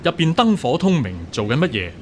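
An actor playing a genial, reflective scholar-official in a radio drama. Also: male voice, on the high side, very animated, brisk, slight background noise. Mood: genial, curious. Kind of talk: Cantonese radio drama acting.

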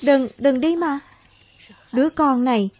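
A woman's voice: high-pitched, a little slow, a little mellow. A woman voicing a worried, protective mother in a Vietnamese cartoon dub, fretful and admonishing.

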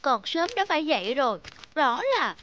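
A woman voicing a weary, whiny, grumbling key in an animated fable. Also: sits high, normal speed, lo-fi sound, slight background noise. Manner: whiny, complaining.

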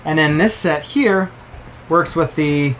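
A man's voice: on the high side, slow pace, fairly bright tone, slight background noise. A man in his thirties casually reviewing camera gear to the camera, chatty and upbeat, explaining as he handles each item in a boat cabin. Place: cabin of a sailboat, indoors.

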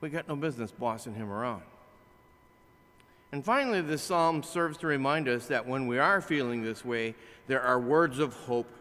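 Older male pastor, bright voice, chapel echo. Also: mid-range voice, moderate pace. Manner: conversational preaching with animated swings from loud to hushed. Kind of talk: sermon. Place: large chapel, at a wooden pulpit. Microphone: pulpit microphone, filmed by a far camera. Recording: long chapel reverb.

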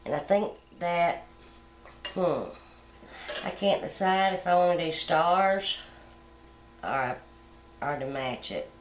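A middle-aged woman hosting a cooking channel, explaining step by step how to decorate a sugar egg at home in a relaxed, homey way. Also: lowish voice, slow, long pauses, roomy sound, a little hiss or hum. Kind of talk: hands-on decorating tutorial.

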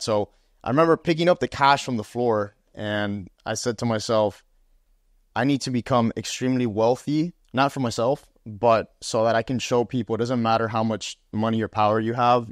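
A man's voice: on the low side, brisk, no echo. Casting: young man from Miami, casual storyteller, dry close lapel sound. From Miami, USA. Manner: animated, gestures, uses fillers like "um" and "right?". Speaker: podcast guest, sales executive. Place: small room with armchairs, indoors.

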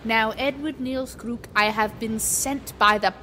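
Casting: bawdy pantomime dame spirit, high-pitched, cheeky, gushing, with background ambience noise. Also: female voice, steady tone, measured pace, sharp tone. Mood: teasing. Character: bawdy, teasing female pantomime dame spirit of Christmas Present. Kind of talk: pantomime audience banter.

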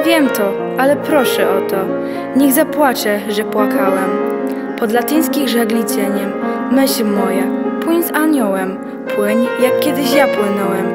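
Young woman doing solemn poem recital, takes it slow, loud piano music, radio play. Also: fairly high pitch. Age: young adult.

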